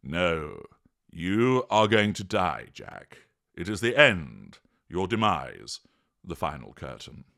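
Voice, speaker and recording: A man voicing Death, an ancient male grim reaper who speaks in a formal, measured way with dry exasperation. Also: low-pitched, very animated, vocal fry, a bit bright, full character voice. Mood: solemn.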